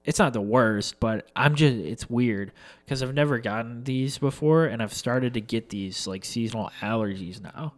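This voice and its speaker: A young man tells a loose, rambling story, full of fillers, restarts and casual swearing. His voice sits at an ordinary middle pitch, with a slight congested, stuffy sound from seasonal allergies. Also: moderate pace.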